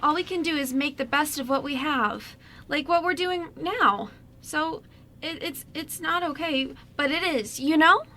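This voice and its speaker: A woman acts a sweet young woman in an amateur radio play. Her voice is high and bright, and she speaks as a gentle, encouraging best friend. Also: measured pace. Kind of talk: amateur radio drama script reading.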